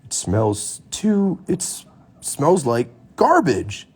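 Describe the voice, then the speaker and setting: A man voicing a fussy, suspicious storybook mother bear with drawn-out, exaggerated delivery. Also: medium pitch, huge pitch swings, breathy edge, voice pushed up, full character voice. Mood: disgusted, puzzled.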